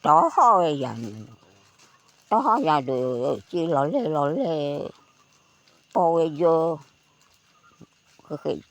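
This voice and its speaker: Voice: mid-range voice, sing-song, slow pace, vocal fry, long pauses, no room sound. A person telling a folk tale aloud in a conversational way. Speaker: folk storyteller.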